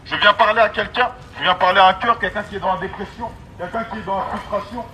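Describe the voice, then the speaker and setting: A man's voice: high voice, fairly even intonation, fast talker, a crowd in the background, low-quality recording. A man preaching an exhorting, emphatic street sermon through a megaphone, returning to key phrases again and again. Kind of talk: street sermon, open-air evangelism. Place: outdoors on an urban street. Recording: amplified megaphone, loud outdoor street noise.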